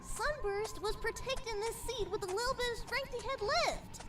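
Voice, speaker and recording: A woman playing a young female pony, stubborn and frightened, talking in a drawn-out, emotional way. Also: very high voice, recorded from a distance, loud music behind the voice. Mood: shaken, hesitant.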